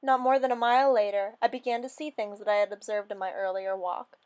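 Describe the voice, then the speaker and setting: A woman giving a theatrical, expressive dramatic reading of a first-person fairy story, as a storyteller. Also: medium pitch, brisk, clear, resonant tone.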